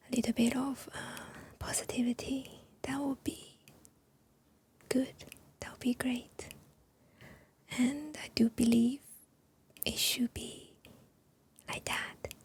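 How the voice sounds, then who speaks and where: Soft, slow whispering woman. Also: on the high side, breathy edge. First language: Chinese. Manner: soft unvoiced whisper with many pauses and fillers. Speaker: ASMR creator. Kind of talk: whispered ASMR chat.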